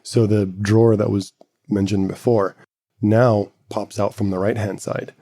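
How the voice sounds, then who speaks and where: A man who grew up with Arabic as his first language talks through software features on a casual podcast. His voice sits a bit low, with a mellow, dark tone and a gravelly edge. He explains patiently, step by step, with plenty of fillers. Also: level tone, unhurried. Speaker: podcast co-host. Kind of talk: casual tech podcast chat.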